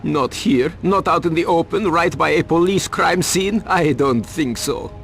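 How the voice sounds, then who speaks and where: A man's voice: on the high side, measured pace, vocal fry, fairly bright tone, a little hiss or hum. An actor playing a cagey, self-important, money-minded reporter turned informant in a video game, evasive as he talks. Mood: defensive, haggling. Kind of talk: video game cutscene acting.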